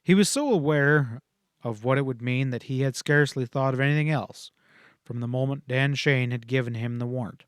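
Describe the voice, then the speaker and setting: A man's voice: mid-range voice, moderate pace, clear, resonant tone, no echo, clean studio sound. A man reading a western novel aloud as scripted audiobook narration.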